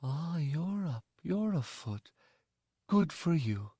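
A man voicing a commanding older noblewoman with a deep, soft, musical voice, speaking quietly and tenderly. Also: sing-song, slow, husky, no room sound, clean studio sound, full character voice. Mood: gently amused.

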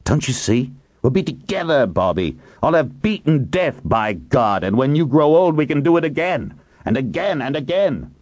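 A man's voice: a bass voice, lively intonation, normal speed, no echo. A man voicing a ruthless, bitter ageing tycoon in an audiobook, growling and emphatic. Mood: urgent pleading.

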